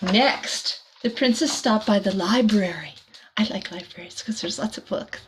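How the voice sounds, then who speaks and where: Slow-paced woman reading a children's storybook. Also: medium pitch, background noise. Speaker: volunteer teacher reading a picture book to children. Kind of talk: children's storybook read-aloud with chatty asides.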